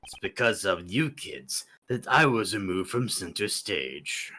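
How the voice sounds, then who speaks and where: Sinister old male game villain, acted by a streamer: grandiose, bitter, low and menacing, theatrical delivery. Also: lively intonation, measured pace, bright, forceful tone, a little hiss or hum, heavily acted. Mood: gloating, bitter. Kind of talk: fan dub of a courtroom mystery game.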